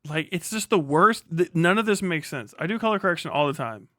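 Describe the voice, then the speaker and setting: A man's voice: on the high side, lively intonation, fairly quick, fairly bright tone, dry recording, studio quality. A man hosting a livestream, chatting casually and off the cuff, muttering to himself and swearing freely. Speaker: livestream host. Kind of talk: casual unscripted livestream chat.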